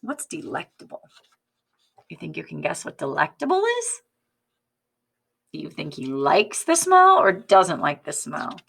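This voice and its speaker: A woman reading a picture book aloud like a teacher, expressive and instructive, addressing young children with questions. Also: on the low side, a little slow, vocal fry, pauses often, husky.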